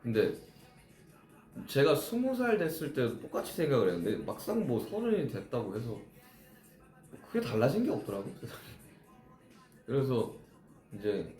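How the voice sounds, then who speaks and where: Lively-toned man in a roomy space. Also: mid-range voice, moderate pace, pauses often, soft background music. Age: 30s. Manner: reflective, explains his songwriting at length. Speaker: musician talking to fans. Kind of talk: casual live-stream chat.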